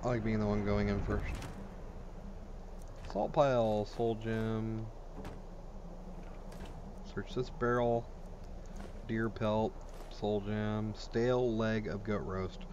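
A man's voice: fairly low pitch, steady tone, slow, pauses often. A man giving casual let's play commentary, informal and crude, thinking aloud as he goes. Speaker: gaming video host. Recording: game ambience and noise behind voice. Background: video game sounds.

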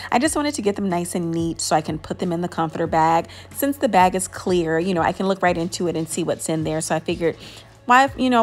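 A woman in her thirties chatting casually in a home vlog voice-over, rambling with lots of fillers and little laughs. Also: fairly low pitch. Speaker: home organizing vlogger. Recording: faint background music.

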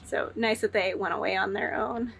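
A woman in her thirties talking casually to an action camera on a sailboat, relaxed and laughing easily. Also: mid-range voice, normal speed, creaky, slightly rough, faint music behind. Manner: relaxed, chatty, laughs often. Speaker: sailing vlogger. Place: aboard a sailboat cabin.

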